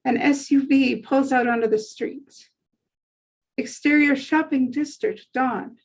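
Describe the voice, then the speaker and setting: Woman, high-pitched voice, scripted narration, stage directions, table read. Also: steady tone, slow pace.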